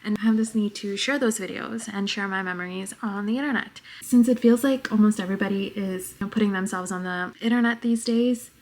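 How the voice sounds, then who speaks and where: A woman in her twenties from New York with a very soft voice, talking reflectively to the camera in a casual vlog at home. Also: medium pitch, a little fast, hardly any pauses. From New York, United States. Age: 20s. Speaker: vlogger.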